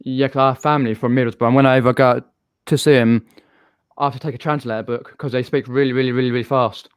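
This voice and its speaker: Smooth-voiced southern English man hosting. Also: medium pitch, fairly even intonation, fast, no room sound, studio quality. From southern England. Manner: chatty, rambling with restarts. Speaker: live-stream host. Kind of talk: casual live-stream chat.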